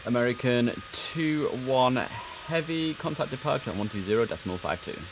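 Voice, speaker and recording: A young man streaming a tower simulator, giving crisp controller calls between chatty asides. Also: medium pitch, lively intonation, moderate pace. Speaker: streamer playing air traffic controller. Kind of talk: gameplay commentary with radio phraseology. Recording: noisy game stream audio.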